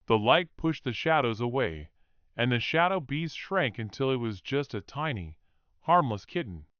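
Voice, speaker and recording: A man narrating a children's story for English learners in a measured, expressive way. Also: mid-range voice, no room sound, highs cut off.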